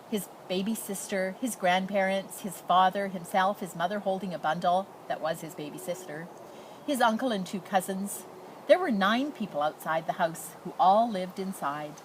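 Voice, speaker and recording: A woman reading a children's picture book aloud as a storyteller in expressive narration. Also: mid-range voice, rough recording. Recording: noticeable background noise.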